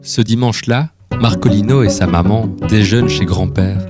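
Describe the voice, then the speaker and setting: A man's voice: lowish voice, moderate pace. A man reading a scripted children's story as the storyteller. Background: instrumental music.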